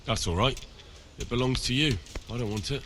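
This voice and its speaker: An actor playing a young village man in a video game, neighborly but firm, with a measured, questioning manner. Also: medium pitch, background noise, lightly acted. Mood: kindly. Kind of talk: video game dialogue acting.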